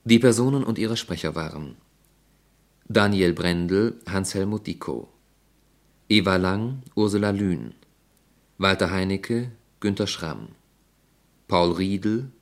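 Deep, slow radio announcer man. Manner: measured, formal delivery. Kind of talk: reading cast credits.

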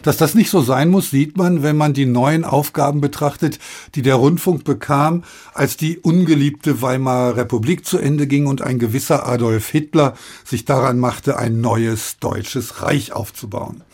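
A man's voice: medium pitch, normal speed, a little mellow. A man hosting a radio programme, presenting from prepared text. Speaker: radio host.

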